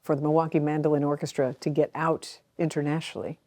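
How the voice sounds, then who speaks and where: A woman's voice: deep voice, level tone, quick pace, mellow. A woman interviewing guests with curious, friendly questions.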